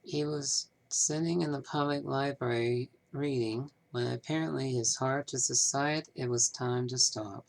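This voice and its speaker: A man voicing a polite, businesslike 1930s small-town banker from a mystery game, with measured, formal phrasing. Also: mid-range voice, fairly even intonation, creaky, low-quality recording. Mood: explanatory. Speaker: amateur voice actor. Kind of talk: scripted game character dialogue.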